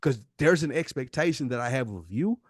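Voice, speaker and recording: A man's voice: mid-range voice, expressive, fairly quick, dry recording, clean studio sound. An American man co-hosting a podcast in a candid heart-to-heart, speaking earnestly and emphatically and repeating himself to make his point. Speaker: podcast co-host.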